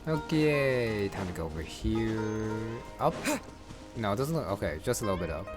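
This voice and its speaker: Casting: male gaming streamer, low voice, casual rambling commentary, thinks aloud, clear game music behind. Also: big pitch swings, moderate pace.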